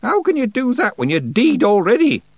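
Man voicing a stern, high-pitched old witch. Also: wildly swooping pitch, moderate pace, no room sound, heavily acted. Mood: sharp. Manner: scolding, sardonic. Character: stern, sharp-tongued old witch woman.

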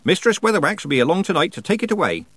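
A bossy old village witch from a storybook performed by a man, lifted in pitch with big swoops. She talks in folksy, colloquial turns, teasing and scolding, close and dry. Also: big pitch swings, rapid-fire, a bit bright, husky, no echo, exaggerated voice. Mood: matter-of-fact. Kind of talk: audiobook character dialogue.

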